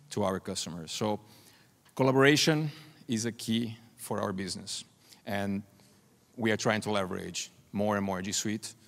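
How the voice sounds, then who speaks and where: A man in his forties from Brazil, a native Portuguese speaker with a Brazilian accent, giving a conference presentation. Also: lowish voice. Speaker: client representative presenting at a conference. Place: large conference hall stage, indoors. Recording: reverberant hall, stage PA.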